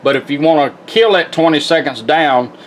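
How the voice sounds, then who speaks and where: A man's voice: on the high side, moderate pace, slight background noise. A middle-aged man giving a casual hands-on product demonstration, explaining step by step in a folksy, informal way. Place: indoors at a workbench.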